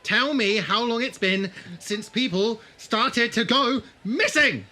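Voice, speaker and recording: An actor playing a sardonic, sharp-minded male adventurer in a fan audio drama, dry and pointed in delivery. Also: very high voice, heavily acted. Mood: accusing, forceful. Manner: dry, pointed, deliberate when pressing.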